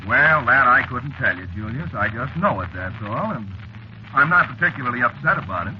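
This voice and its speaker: An actor playing a calm, polite stranger, genial and matter-of-fact about death, in an old-time radio drama. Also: male voice, medium pitch, a little fast, close to an everyday voice. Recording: muffled, noisy vintage radio recording.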